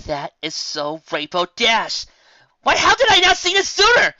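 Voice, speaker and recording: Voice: mid-range voice, wildly swooping pitch, a little slow, sharp tone, no echo, dull audio, voice pushed up, exaggerated voice. A reader voicing an eager, astonished female pony who speaks emphatically in a cartoon-based story. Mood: excited. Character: excitable, demanding, astonished female pony listener.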